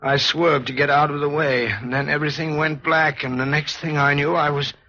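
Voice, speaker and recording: Vintage radio husband: middle-aged, weary yet stubborn, forceful lightish voice, muffled old recording. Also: male voice, a little high, little pitch movement, moderate pace. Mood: dazed. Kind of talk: 1940s radio drama acting.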